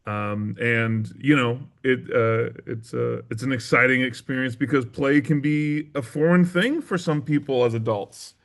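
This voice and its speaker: A man's voice: medium pitch, unhurried, hardly any pauses. A man being interviewed as a theatre maker, speaking in an earnest, reflective way and feeling out his phrasing.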